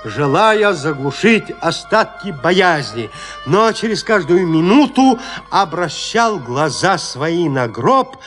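A man narrates a classic tale for an audio drama in a fairly high male voice. He goes very slowly, setting out short phrases with suspenseful pauses, with faint music underneath. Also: big pitch swings, fairly bright tone. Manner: measured, suspenseful, deliberate pauses.

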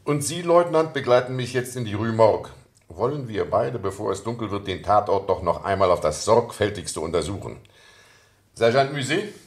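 Give the prefect a fairly low voice that swings widely in pitch. a commanding, sardonic police chief in a radio drama, probing with dry irony and snapping out orders. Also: male voice, lively intonation, normal speed. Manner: commanding, probing, dryly ironic. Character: brusque, sardonic male police prefect leading an interrogation. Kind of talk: German radio play acting.

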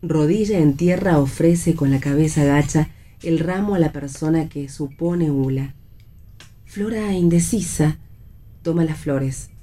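A woman with an Argentine accent reading scripted stage directions for a radio play in a measured, descriptive manner. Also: low voice, mellow.

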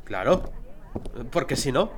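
A man's voice: mid-range voice, very animated, a little slow, background noise. An actor playing a teasing, worldly young man in an audio drama, talking casually to his friend. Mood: wry.

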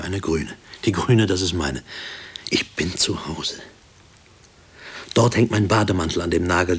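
Deep, husky brooding man. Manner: measured, deliberate, matter-of-fact. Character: brooding married man haunted by wartime prison. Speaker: lead actor and narrator in a radio play. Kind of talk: introspective first-person radio drama monologue.

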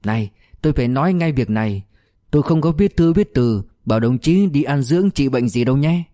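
A blunt, insistent old comrade from a storybook, as a male narrator reads him, speaking emphatically. The voice is mellow and medium in pitch, recorded dry. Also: lively intonation, brisk, no echo, lightly acted.

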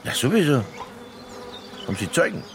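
Sardonic Bavarian detective, animated pitch. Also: male voice, normal speed, slight background noise. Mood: matter-of-fact, needling. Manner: offhand, colloquial, needling. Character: brusque, sardonic male Bavarian police detective, casually bending rules. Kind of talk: naturalistic radio drama acting.

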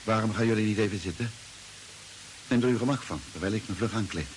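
A man's voice: fairly low pitch, quick pace, close to an everyday voice. An actor playing a confused, irritable but self-assured stranded spaceman in vintage radio drama style. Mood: calmly deluded. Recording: old hissy, noisy radio recording.

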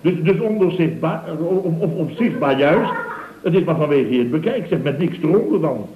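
An actor playing a flustered, blustering middle-aged contractor boss who stammers, repeats himself and grumbles in a comic radio drama. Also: male voice, on the high side, lively intonation, moderate pace, close to an everyday voice. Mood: flustered, excited. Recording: dull, roomy old radio recording with hiss.

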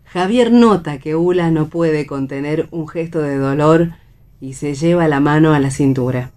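A woman's voice: low voice, moderate pace, warm tone. A woman narrator reading stage directions for radio theatre in scripted style.

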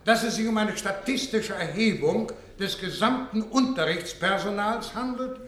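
A man's voice: high-pitched, moderate pace, fairly bright tone. An actor playing an officious male ministry director in a German radio play, making formal bureaucratic announcements in an echoey room. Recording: echoey hall sound.

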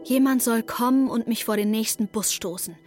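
Actress as introspective young woman over soft music. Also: on the high side, normal speed, lightly acted. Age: 20s. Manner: intimate, reflective inner monologue. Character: introspective, restless young Berlin woman in her twenties. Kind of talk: radio drama first-person narration.

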